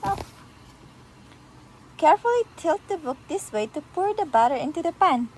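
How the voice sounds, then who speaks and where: A woman reading a children's picture book aloud to a toddler in an animated, sing-song, encouraging way. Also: high voice, a little fast, rough recording.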